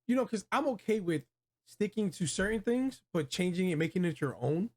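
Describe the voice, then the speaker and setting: A man's voice: sits high, expressive, brisk. A man hosting a casual movie review chat, speaking in a slangy, emphatic, opinionated way. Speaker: host of a video game movie review show.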